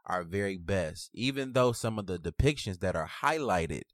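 Male podcast host, low register, slangy and emphatic, dry close sound. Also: lively intonation, normal speed, no room sound. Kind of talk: casual podcast conversation.

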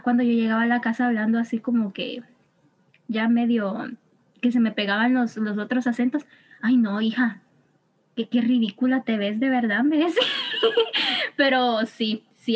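Slightly high-voiced young woman explaining. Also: expressive, moderate pace. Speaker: podcast guest, student. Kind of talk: casual group chat.

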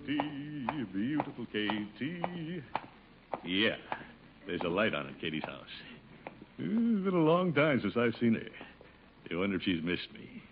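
An actor playing a pompous, bashful middle-aged American suitor in a radio situation comedy, hamming it up with chuckles and muttered asides. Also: male voice, mid-range voice, big pitch swings, measured pace, pauses often. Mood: smitten, musing. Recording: muffled, hissy 1950 radio broadcast.

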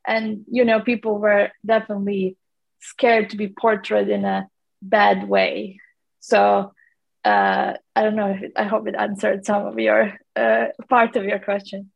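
A woman's voice: medium pitch, a little slow, gravelly, highs cut off. A woman from Latvia with a Latvian accent, a documentary producer answering interview questions in a rambling way with many fillers and restarts.

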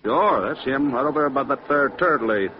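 A man's voice: medium pitch, expressive, brisk, natural voice. An actor playing a simple, talkative factory foreman in a 1940s radio drama. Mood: folksy, obliging. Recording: muffled, faintly noisy old radio recording.

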